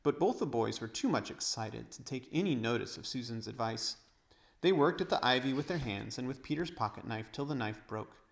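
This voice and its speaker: A man's voice: medium pitch, lively intonation, brisk, creaky. A man narrating a storybook aloud as a read-aloud reader.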